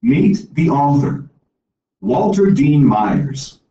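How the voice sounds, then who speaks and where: Male storybook reader, mellow tone, recorded at a distance in a roomy space. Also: on the high side, big pitch swings, extremely slow. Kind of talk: scripted story read-aloud. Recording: echoey distant room, dull, faint noise.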